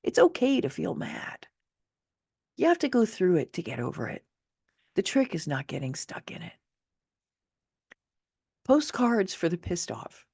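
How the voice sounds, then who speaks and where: Expressive woman reading her own memoir, dry sound. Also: lowish voice, a little slow, vocal fry, pauses often, husky, no room sound. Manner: candid, wry, conversational asides. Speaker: author and narrator.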